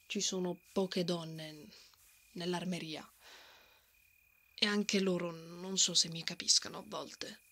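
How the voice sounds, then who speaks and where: A woman's voice: on the low side, fairly even intonation, slow pace, long pauses, pushed and bright, husky, heavily acted. A woman playing a brave, stubborn and protective female knight in a fantasy ASMR roleplay. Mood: tender, vulnerable. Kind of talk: ASMR romantic fantasy roleplay, acted monologue.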